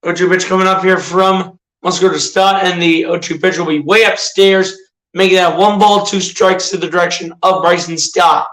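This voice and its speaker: A young man with a slightly rough voice doing amateur play-by-play commentary on a livestream, chatting with viewers between pitches. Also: fairly even intonation, moderate pace, a bit bright, reverberant, low-quality recording. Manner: chats with viewers between calls.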